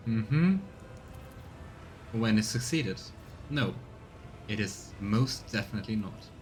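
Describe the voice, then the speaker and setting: A man's voice: on the low side, lively intonation, slow pace, a little hiss or hum. A man giving casual let's play commentary and reading game dialogue aloud, with exasperated, sarcastic asides.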